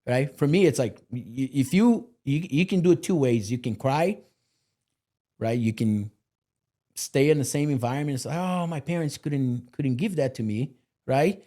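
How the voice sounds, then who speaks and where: Male guest, mellow and earnest, motivational, filler-heavy. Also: medium pitch, moderate pace. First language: Portuguese. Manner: earnest, motivational, lots of "you know" fillers. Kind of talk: candid podcast interview.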